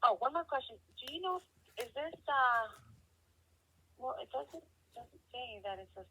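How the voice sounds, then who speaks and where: A woman working as a customer service representative on a telephone call, speaking haltingly as she thinks aloud and checks details. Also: sits high, lively intonation, sharp tone, husky. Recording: dull, band-limited telephone line.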